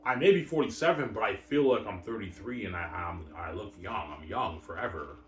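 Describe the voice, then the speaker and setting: Man, low-pitched voice, casual rambling, repetitive and boastful, emphatic bursts, distant roomy recording. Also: lively intonation, brisk. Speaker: vlogger. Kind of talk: rambling casual hockey talk. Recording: roomy, distant mic, faint noise.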